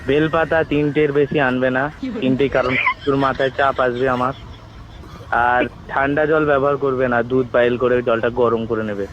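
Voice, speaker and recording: A man's voice: medium pitch, little pitch movement, pitched below the performer's own voice, close to an everyday voice. A man voicing the god Shiva as a bossy, teasing, mock-grand prank caller in an improvised comic phone call. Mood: solemn, bossy. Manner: mock-grand, teasing, scolding, drawn-out words. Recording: muffled, noisy phone call recording.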